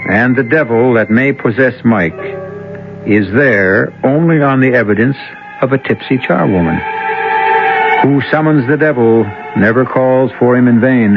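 Male host, measured ominous narration, dull old radio sound. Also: mid-range voice. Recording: dull old radio broadcast with music behind.

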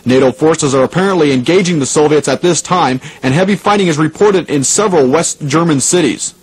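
A man playing a 1980s radio station host, speaking in a measured, formal announcer style. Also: fairly high pitch, close to an everyday voice. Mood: serious, urgent. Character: 1980s male radio DJ hosting oldies, turning newsreader. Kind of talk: radio DJ and news bulletin announcing. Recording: noisy radio broadcast recording.

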